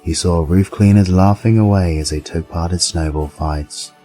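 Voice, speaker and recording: A man's voice: low voice, moderate pace, dark tone, soft background music, natural voice. A man narrating a scripted Christmas tale in storybook style. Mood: measured storytelling.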